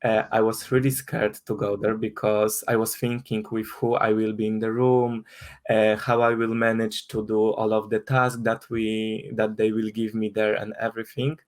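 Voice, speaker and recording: A man's voice: fairly low pitch, little pitch movement, moderate pace. A young man from Poland, speaking English with a Polish accent as a guest in an interview, hesitant with many restarts and fillers. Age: young adult. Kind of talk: casual interview talk.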